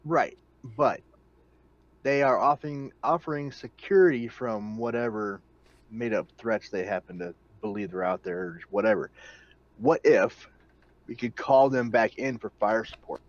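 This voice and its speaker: A man's voice: medium pitch, lively intonation, measured pace, dry recording, highs cut off. A man playing a tabletop game, talking casually in a practical, questioning manner.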